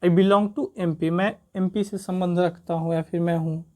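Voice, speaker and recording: A woman's voice: lowish voice, fairly even intonation, moderate pace, dark tone. A woman with an Indian accent teaching grammar informally, explaining step by step with repetition and frequent check-in tags.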